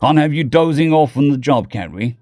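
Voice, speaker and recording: A man's voice: a little high, animated, moderate pace, mellow, no room sound, studio quality, close to an everyday voice. A man voicing an easygoing, friendly human spaceship leader in a story, casual and good-natured.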